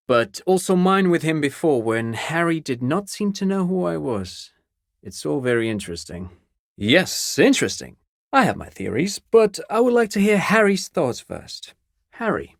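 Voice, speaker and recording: A man's voice: medium pitch, no room sound, studio quality. An actor playing an eager, erudite academic consultant in a game, articulate and long-winded, musing and politely hedging as he speaks. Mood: measured, analytical, then curious, probing.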